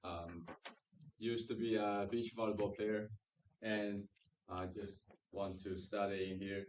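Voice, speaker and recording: A man from China, speaking slowly and haltingly in a lower voice as he introduces himself. He is picked up from a distance with faint noise in the room. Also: flat delivery, a little mellow, echoey room, highs cut off. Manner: hesitant, many fillers. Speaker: student. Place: lecture room.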